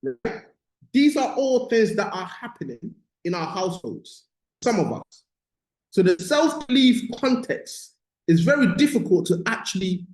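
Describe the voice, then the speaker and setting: A man's voice: sits high, darkish tone. A man from Ghana who grew up in the UK, teaching as a motivational business coach, emphatic and repeating key phrases with frequent check-ins. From born in Ghana, moved to the UK at eight. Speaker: business mindset coach leading a course. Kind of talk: motivational teaching in a live online session. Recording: roomy, echoing sound.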